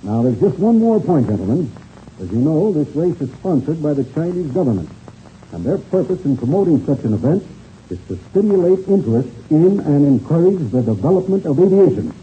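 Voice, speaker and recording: An actor playing a formal, courteous race official in a 1930s radio serial, speaking in deliberate speeches. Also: male voice, on the high side, very animated. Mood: formal, firm. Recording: muffled old radio recording, faint noise.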